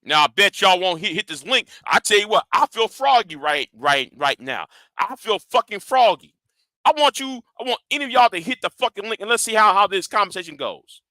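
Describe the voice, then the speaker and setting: A man's voice: high voice, animated, a little fast, bright, forceful tone, no room sound. A man ranting in a commentary vlog. He talks confrontationally and swears a lot, repeats and restarts his words, and challenges his audience directly. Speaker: YouTube commentator.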